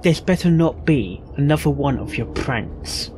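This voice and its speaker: Man playing a skeptical, unhurried train crewman. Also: on the high side, expressive. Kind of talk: amateur audio drama acting. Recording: faint background noise.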